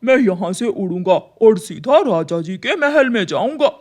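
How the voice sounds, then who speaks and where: A woman's voice: medium pitch, sing-song, moderate pace, a little mellow. A woman voicing a sly, greedy, bossy male crow in a cartoon story. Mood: sly persuasion.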